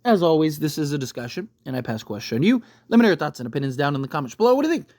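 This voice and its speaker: An online commentator with a mid-pitched voice, recorded close and very dry. The delivery is a casual, opinionated rant, emphatic and conversational, punctuated by rhetorical check-ins. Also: big pitch swings, very fast, a little mellow, no echo. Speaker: YouTube host giving film commentary.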